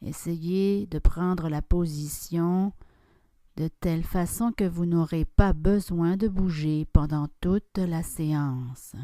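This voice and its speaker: Very slow, mellow woman guiding meditation. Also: fairly low pitch, dry recording. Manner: calm, soft instructions with long pauses. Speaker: yoga nidra guide. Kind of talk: guided relaxation meditation.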